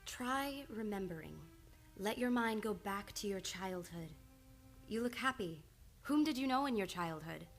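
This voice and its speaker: A woman acting in an old-time radio drama as a measured, determined psychiatrist in love. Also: medium pitch, expressive. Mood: coaxing. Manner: measured, controlled, earnest. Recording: live radio play with music behind.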